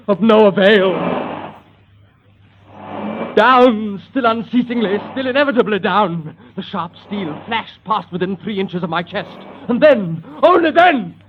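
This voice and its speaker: An actor playing a condemned captain tormented by dread, speaking in a halting, literary way in the style of old radio horror. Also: male voice, high voice. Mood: frantic. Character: condemned male captain, tormented prisoner narrating his dread. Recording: muffled, noisy old radio recording.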